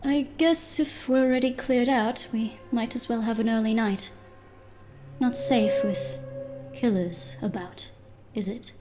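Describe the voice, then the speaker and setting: A woman's voice: fairly high pitch, a little slow, music in the background, natural voice. A woman playing a nervous, evasive diner cook with a hesitant, stammering manner in a noir audio drama. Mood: uneasy.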